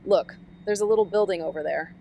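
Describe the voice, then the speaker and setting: A woman voicing a sarcastic, excitable young woman who trades snarky, profane quips in a noir audio drama. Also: fairly low pitch, fairly quick, gravelly, a little hiss or hum.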